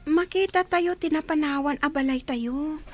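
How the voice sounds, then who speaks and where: A woman's voice: sits high, moderate pace, faint music behind, close to an everyday voice. A woman voicing a timid, whiny little child in an Ilocano radio drama. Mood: curious.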